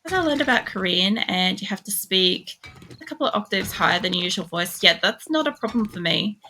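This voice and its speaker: A young woman streaming a game and chatting casually to her viewers, mostly flat in tone, rambling with lots of "like" and the odd swear. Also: brisk, slight background noise, rough recording. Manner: mostly monotone, jumps much higher when excited.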